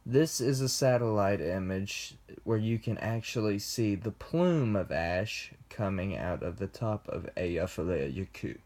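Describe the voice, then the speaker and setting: Young man, class presentation, slow deliberate reading, low-pitched. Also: expressive, a little mellow. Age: young adult. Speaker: student presenter. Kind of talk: class presentation read from script.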